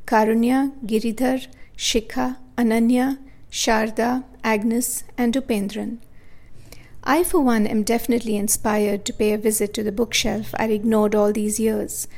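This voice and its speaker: A woman's voice: a little high, a little mellow. A woman hosting a podcast, reading scripted narration to her listeners. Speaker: podcast host.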